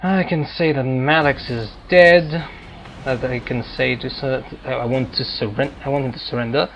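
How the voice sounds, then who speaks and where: A man giving casual game commentary, thinking aloud in a halting, hesitant way. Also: mid-range voice, fairly even intonation, highs cut off, slight background noise. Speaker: gameplay streamer. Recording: game music behind. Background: video game music.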